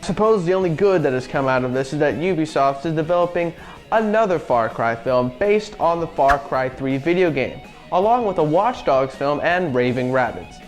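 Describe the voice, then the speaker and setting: A man's voice: a little high, lively intonation, normal speed. A man co-hosting a semi-scripted video review in a sardonic way, as commentary. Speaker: co-host. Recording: music behind the voice.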